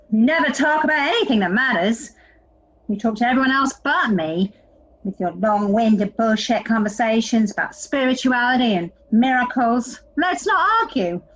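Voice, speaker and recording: An actress playing a bitter, sarcastic sister with an accusing, emphatic delivery in an audio play. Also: female voice, on the high side, a bit bright, dull audio. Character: bitter, aggrieved, sarcastic woman quarrelling with her sister.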